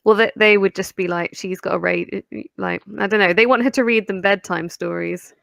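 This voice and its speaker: A woman chats playfully on a casual livestream, her mid-range voice carrying a gravelly edge. The sound is close and dry. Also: mid-range voice, steady tone, moderate pace, fairly bright tone, dry recording. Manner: chatty, playful. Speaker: streamer co-host.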